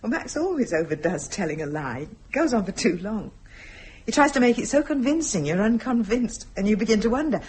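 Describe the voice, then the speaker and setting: Husky, troubled wife, swooping intonation. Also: female voice, mid-range voice, big pitch swings, brisk, darkish tone. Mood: wry. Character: anxious, troubled wife confiding fears to a friend. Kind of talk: radio play acting.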